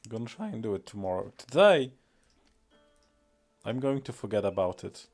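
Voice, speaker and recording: A man's voice: lowish voice, big pitch swings, moderate pace, a little mellow, soft background music. A man with a Polish accent, a native Polish speaker, streaming casually and rambling with many fillers and self-deprecating remarks. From Poland. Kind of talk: casual live-stream commentary.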